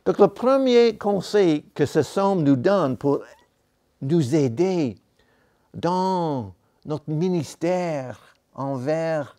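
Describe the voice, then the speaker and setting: A man preaching a sermon in a church, a non-native speaker of the language, talking slowly and deliberately with pauses and self-corrections. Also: on the high side, darkish tone. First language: not French.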